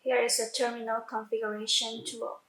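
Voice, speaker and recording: A woman who speaks English as a second language, giving a halting step-by-step software tutorial with repeats and self-corrections. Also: a little high, flat delivery. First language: not English. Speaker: product tutorial presenter. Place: indoor room, demonstrating at a computer screen. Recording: echoey room sound.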